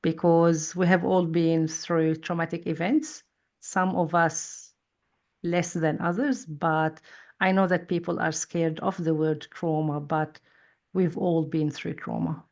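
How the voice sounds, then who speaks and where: A woman speaks slowly and deliberately. Her voice sits a little low, and she weighs her words as a thoughtful interview guest. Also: level tone, gravelly, a little mellow. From Lebanon. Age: 40s. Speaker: psychologist guest. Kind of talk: interview conversation.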